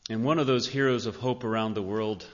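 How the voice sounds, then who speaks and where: A male pastor with a fairly low voice addresses a church in an earnest, measured way. His words ring through a long hall reverb, and the recording is somewhat dull. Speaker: pastor introducing a guest speaker. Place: large indoor church sanctuary.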